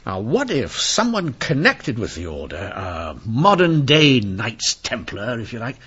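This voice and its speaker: An actor playing a brisk, dogged Victorian police inspector in an old-time radio mystery, deliberate and formally courteous. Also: male voice, medium pitch, huge pitch swings, fairly bright tone, slightly rough, full character voice. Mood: brooding, wry. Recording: muffled radio drama recording.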